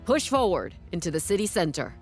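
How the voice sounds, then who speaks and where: A tough, battle-hardened colonel in a sci-fi war game. Her delivery is clipped and commanding, with lively swings in pitch, over a music bed. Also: a little fast. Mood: fierce, urgent. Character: tough, battle-hardened female colonel leading infantry against alien bugs. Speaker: military field officer in a strategy game. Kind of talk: video game cutscene acting.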